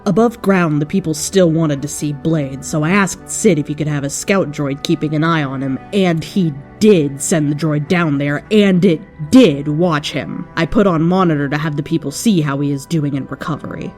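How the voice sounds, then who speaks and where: A woman's voice: sits low, moderate pace, few pauses, a little mellow, faint music behind. A woman reading fan fiction aloud as narrator, in a mock-serious comic style. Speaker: story reader.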